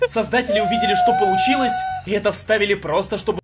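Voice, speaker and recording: A man's voice: high voice, wildly swooping pitch, quick pace, loud music behind the voice. A young man delivering sarcastic comedic review commentary with a mocking, profane manner. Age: young adult. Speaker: video reviewer.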